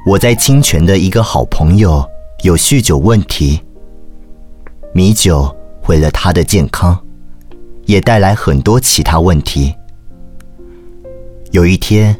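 An actor voicing an earnest, determined priest who narrates his memoir in audio drama style, measured and expressive. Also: low-pitched. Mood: reflective. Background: music behind the voice.

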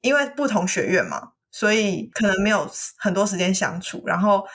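Female podcast co-host, Taiwanese accent, mid-pitched and a touch husky, animated, explains things conversationally. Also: normal speed. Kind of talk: casual chat, retelling and explaining a story.

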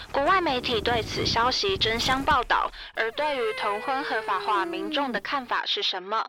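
A woman's voice: fairly high pitch, lightly acted. A woman playing a formal news anchor reading a report in an audio drama. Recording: noisy radio-like news broadcast.

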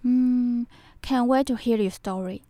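High, clear Taiwanese woman's voice. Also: a little slow, dark tone, no room sound. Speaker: podcast co-host. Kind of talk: conversational co-hosting.

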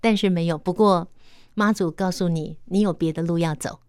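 A woman's voice: fairly low pitch, steady tone, moderate pace, a little mellow, smooth, no room sound, studio quality. A woman with a Taiwanese Mandarin accent hosting a conversational radio interview, asking engaged questions. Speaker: radio host interviewing a guest.

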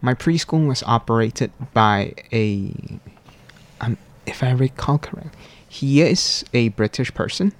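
A man, explaining things in formal, deliberate, carefully worded answers. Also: medium pitch, animated. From Malaysia. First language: Cantonese. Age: 20s. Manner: formal, deliberate, precise wording. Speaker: university student guest.

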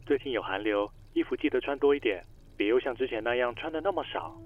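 A man's voice: mid-range voice, animated, quick pace. A man acting a caring, teasing suitor in a radio drama, heard through a telephone filter. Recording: dull phone-like narrow band.

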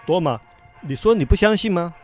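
An actor playing a cautious, worried disciple who is easily exasperated, in a radio Bible drama. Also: male voice, sits high, expressive, a little fast, soft background music.

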